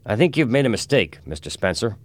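A man voicing a big, composed lawman who speaks with quiet, deliberate understatement. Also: lowish voice, sing-song, pitched above the performer's own voice. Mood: measured.

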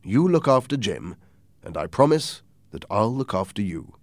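Man as calm, principled storybook doctor, creaky. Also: lowish voice, normal speed, no room sound. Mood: low, serious.

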